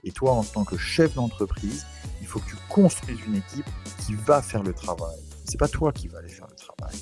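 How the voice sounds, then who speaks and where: A man's voice: lowish voice, expressive, moderate pace, a little mellow, soft background music. A man talks informally in an interview, explaining things in a conversational way. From French Antilles, Saint-Barthélemy. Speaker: podcast guest, real estate investor.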